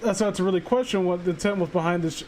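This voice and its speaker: A man chatting and reading aloud on a casual livestream, halting and sardonic, with some swearing. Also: high-pitched, a little mellow, slight background noise. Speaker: streamer reading stories aloud. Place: voice call livestream.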